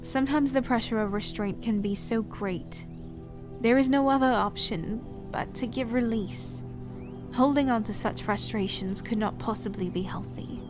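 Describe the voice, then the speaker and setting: An actress playing an ageless queen who speaks in a measured, gracious and guarded way. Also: fairly high pitch, lightly acted. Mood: formal. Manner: measured, formal and gracious, coolly reserved. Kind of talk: audio drama acting. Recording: music bed under the voice.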